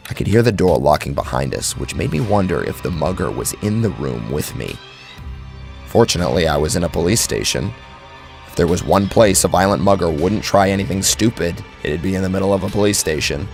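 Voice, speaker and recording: A man's voice: low voice, expressive, brisk, gravelly. A man narrating a scripted first-person horror story dramatically, close on the microphone. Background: background music.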